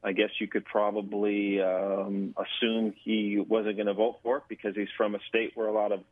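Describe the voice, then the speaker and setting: A man with a fairly low voice speaks as an interview guest over a phone-like connection. He explains things in a measured but halting way, and the sound is thin and dry. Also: level tone, dry recording. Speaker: interview guest, government affairs director. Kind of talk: radio interview answers.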